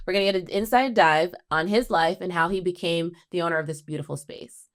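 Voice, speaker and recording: Clear-voiced woman hosting an interview. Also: lowish voice, fairly quick, clean studio sound. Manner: encouraging, echoes guest's answers. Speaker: podcast host interviewer. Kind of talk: conversational interview.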